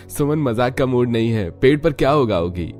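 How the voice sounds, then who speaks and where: A man's voice: mid-range voice, a little mellow. A man voicing an earnest young husband and devoted son in a cartoon story, in an exclamatory manner. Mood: incredulous. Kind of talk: Hindi cartoon story dialogue.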